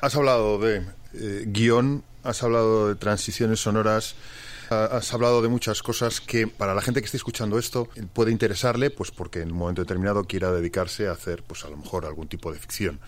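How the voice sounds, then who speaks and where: Low-voiced male interviewer, hesitant phrasing. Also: fairly even intonation, brisk. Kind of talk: conversational interview questions.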